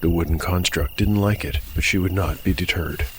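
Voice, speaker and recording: A man narrating a dark fantasy tabletop audiodrama in a measured, deliberate, scripted storytelling style. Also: deep voice, slight background noise.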